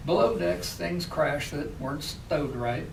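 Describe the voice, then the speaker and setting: Echoey, unhurried man reading poetry aloud. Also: a little high, vocal fry. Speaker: poet reading own work. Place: public library reading room. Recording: echoey room, distant mic, faint noise.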